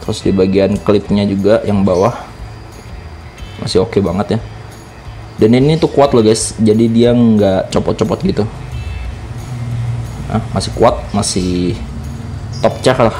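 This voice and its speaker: A man's voice: lowish voice, dark tone. A man with a Jakarta Indonesian accent giving a casual product review, explaining things informally step by step as a vlogger.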